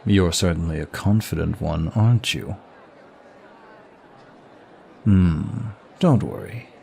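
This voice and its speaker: A man's voice: sits low, slow, gravelly, mellow, a faint crowd, slight background noise, exaggerated voice. A man playing a smug, seductive demon of lust in an intimate ASMR roleplay, with suave, teasing and formal flirtatious phrasing.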